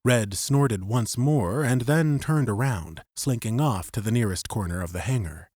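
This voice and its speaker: A man narrating scripted fiction as an audiobook, with measured, suspenseful storytelling. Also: medium pitch, animated, darkish tone, dry recording, clean studio sound.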